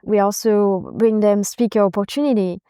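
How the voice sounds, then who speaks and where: A woman speaking as a podcast guest, with a very smooth, clean voice and a soft, mellow tone. She answers in an earnest, measured way and sounds warmly enthusiastic. The recording is dry, with no room echo. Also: mid-range voice, fairly even intonation. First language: not Japanese. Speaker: podcast guest, venture investor. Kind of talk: conversational interview answers.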